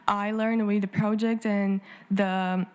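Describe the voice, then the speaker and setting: A woman's voice: mid-range voice, level tone, slow pace, darkish tone, clear, resonant tone. A woman around twenty answering on a summit panel, direct and enthusiastic, in a conference room. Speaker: youth panelist.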